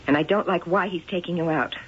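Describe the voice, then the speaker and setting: An actress playing a firm, protective older sister in a vintage radio drama, heard on a muffled old broadcast. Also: female voice, lowish voice, fast talker, slightly rough. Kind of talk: 1970s radio drama acting.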